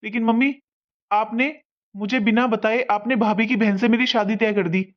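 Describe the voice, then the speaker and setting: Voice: medium pitch, fairly even intonation, moderate pace, lightly acted. An actor playing a defiant young newlywed son in a cartoon family melodrama, earnest, firm and insistent. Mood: hurt, frustrated. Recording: dull lo-fi sound.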